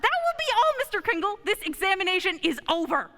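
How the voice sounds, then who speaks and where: Woman doing a flustered bossy office examiner guy for a radio play, super high sharp voice, swoops around. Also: very animated, fast, heavily acted. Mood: flustered, irate. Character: nervous, pompous, irritable male personnel examiner.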